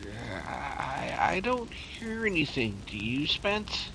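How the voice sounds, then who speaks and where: A man's voice: mid-range voice, big pitch swings, slow pace, sharp tone, slight background noise, heavily acted, poor audio. A man playing a nervous, plain-talking detective sidekick with a hesitant, informal manner, in a 1930s-style radio drama. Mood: uneasy.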